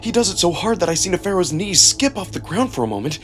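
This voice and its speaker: A man reading a first-person story as dramatic, expressive scripted narration with a sense of suspense. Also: sits high, fast talker, soft background music. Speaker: narrator and performer.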